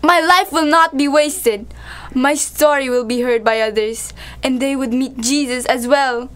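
Woman acting high-voiced, steadfast young prisoner. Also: unhurried, fairly bright tone. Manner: earnest. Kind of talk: amateur student radio drama acting.

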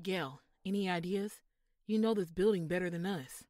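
A woman's voice: on the low side, moderate pace, a little mellow, dry recording, clean studio sound, natural voice. An actress playing a practical, sardonic woman in a crew of amateur robbers, in an audio drama. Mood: tense.

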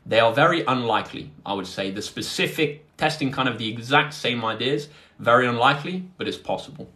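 A man's voice: medium pitch, a little fast, sharp tone. Casual young British man chatting to viewers. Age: 20s. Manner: informal, chatty, addressing viewers directly. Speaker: maths tutor hosting a livestream. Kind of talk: casual livestream chat, answering viewer questions. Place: indoors, close to a phone on a tripod.

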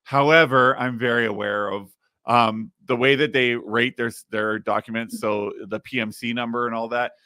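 A man in his thirties, slightly raspy, hosting a casual podcast and explaining things with plenty of fillers, recorded in a home studio. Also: medium pitch, fairly even intonation, moderate pace, pushed and bright, dry recording. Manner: explains while screen-sharing, many fillers. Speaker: podcast host. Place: home studio with neon sign.

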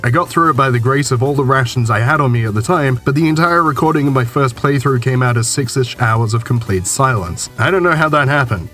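A man hosting a video game show in scripted, dry and sarcastic comedic narration, his voice a little rough. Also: medium pitch, fairly bright tone. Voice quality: a little rough from a recent illness. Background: game music under the voice-over.

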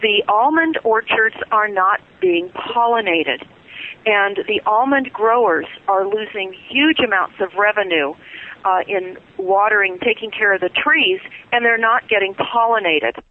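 A woman's voice: mid-range voice, measured pace. A woman guest speaking urgently and insistently over the phone on a radio talk show, with frequent filler ums. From California. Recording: tinny phone line.

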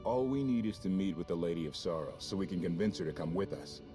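An actor playing a confident, easygoing ex-officer rogue in a video game, casual and folksy. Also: male voice, low-pitched, animated, quick pace, over music. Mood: persuasive. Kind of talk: video game cutscene acting.

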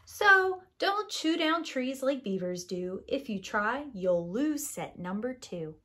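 A woman in her thirties reading a children's picture book aloud like a preschool teacher, with expressive, sing-song rhyming delivery and deliberate pauses. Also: high voice.

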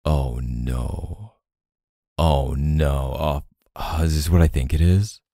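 A young man playing an easygoing, tender boyfriend in an intimate roleplay audio, speaking softly and hesitantly in casual slang. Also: rumbling low, takes it slowly, dry recording, clean studio sound, heavily acted. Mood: dismayed, regretful.

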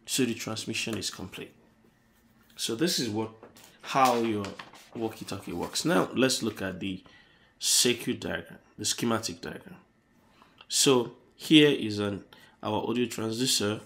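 A man hosting an electronics tutorial, explaining circuit diagrams on paper in a halting, self-correcting way. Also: on the low side, animated, creaky, a bit bright, slight background noise.

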